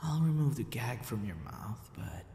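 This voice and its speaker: Guy doing a soft possessive yandere boyfriend for asmr, whispery and soothing, pitch swoops around, roomy reverb. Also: big pitch swings, a little slow. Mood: tender, coaxing. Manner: soft, breathy, often dropping into a whisper. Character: gentle, obsessive yandere male captor, soothing and possessive. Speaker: audio roleplay voice actor. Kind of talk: ASMR boyfriend roleplay acting. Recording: roomy reverb with faint rumbling noise.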